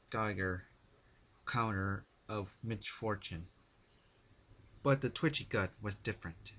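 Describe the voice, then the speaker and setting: A man giving a dramatic scripted story narration, phrased deliberately in short, halting chunks. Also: fairly low pitch, a bit bright.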